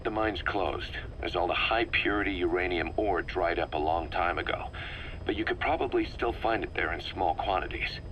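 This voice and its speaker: A middle-aged military intelligence officer in a video game, with a very low, forceful voice. He briefs his commander in a measured, analytical, serious way. There is faint noise on the recording. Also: low-quality recording. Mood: matter-of-fact. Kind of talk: scripted briefing, game voice acting.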